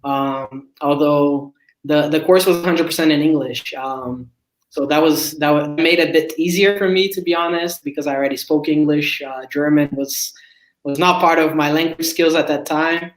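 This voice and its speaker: A Brazilian man, a guest recruiter, telling his career story in an interview, relaxed and full of fillers. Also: a little high, moderate pace, echoey room, rough recording. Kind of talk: interview answers.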